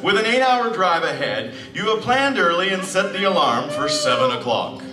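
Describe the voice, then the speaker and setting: Higher-voiced man narrating on an echoey stage. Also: very animated, normal speed, distant mic. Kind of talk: dramatic scripted radio-play narration. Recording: echoey stage from afar, music behind.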